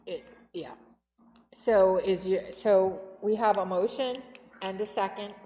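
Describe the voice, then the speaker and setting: Slow-speaking woman chairing a town meeting. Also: medium pitch, mellow, soft background music. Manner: businesslike, reads out budget lines and calls votes. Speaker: committee chair. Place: town hall meeting room. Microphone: table microphone. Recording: echoey hall, faint background noise.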